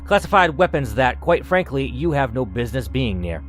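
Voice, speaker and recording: A man's voice: medium pitch, sing-song, moderate pace, a bit bright. A man voicing an older male facility boss, powerful and tired, commanding and curt, in a game story. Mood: gruff, curt. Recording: music under the voice.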